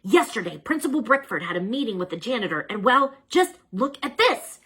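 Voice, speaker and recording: A woman voicing a self-important, scheming cartoon bunny boss with a dramatic, conspiratorial manner and drawn-out emphasis. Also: fairly high pitch, big pitch swings, fairly bright tone, slightly rough, pitched above the performer's own voice, exaggerated voice. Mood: urgent.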